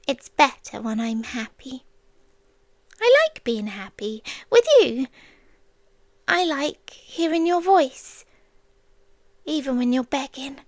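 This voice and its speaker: Casting: woman as possessive yandere water demon, British, ASMR roleplay. Extremely slow, soft whispery coaxing, tender yet threatening, very close and dry. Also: high-pitched, no room sound. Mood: softly menacing. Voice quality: soft, breathy, often whispered. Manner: slow, coaxing, sweetly menacing with long pauses. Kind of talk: ASMR roleplay, soft intimate delivery often dropping to a whisper.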